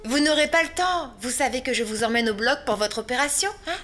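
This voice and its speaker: A woman's voice: sits high, animated, fairly quick, a bit bright, faint music behind. A woman playing a patient but firm animal nurse in a children's cartoon, soothing her patients. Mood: gently scolding. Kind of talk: children's cartoon dubbing in French.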